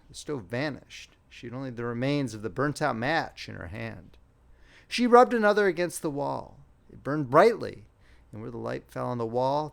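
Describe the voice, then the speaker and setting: Casting: man for dramatic fairy tale narration, highly varied pitch, slightly high register, expressive. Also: gravelly. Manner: expressive, theatrical storytelling. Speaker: audiobook narrator.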